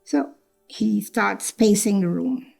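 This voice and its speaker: A woman's voice: mid-range voice, takes it slowly, gravelly, darkish tone, soft background music. An older woman born in Trinidad, telling her life story in a reflective way, repeating phrases and acting out what others said. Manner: reflective, repeats phrases, acts out quoted speech. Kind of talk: personal storytelling interview.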